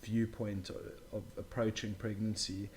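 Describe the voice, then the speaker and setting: A man's voice: fairly low pitch, flat delivery, a little slow, darkish tone, slight background noise. A young man speaking as an interview guest, earnest and emphatic, giving his opinions in a wordy, conversational way. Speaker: interview guest, new father.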